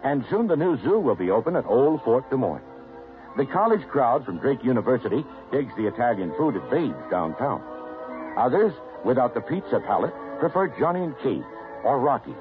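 A man reading a scripted radio advertisement with smooth promotional delivery. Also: mid-range voice, very animated, normal speed. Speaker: radio announcer. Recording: tinny old radio broadcast with music.

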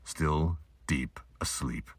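A man's voice: a bass voice, very slow, husky, no room sound. A man giving scripted, audiobook-style narration for an audio drama.

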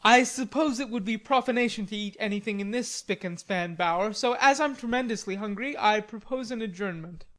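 A woman plays a cheerful young gentleman from a storybook, pitched up and full of playful theatrics. He teases and exclaims with melodramatic flair. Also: fairly quick, few pauses, lightly acted. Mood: playful, mock-weary. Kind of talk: audiobook character dialogue.

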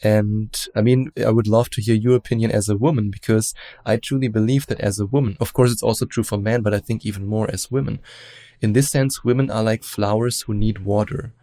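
A man with a German accent, a relationship coach giving measured advice as an interview guest, often hedging with "let's say". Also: on the low side, fairly even intonation, warm tone, no echo. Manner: explanatory, measured, hedges with "let's say".

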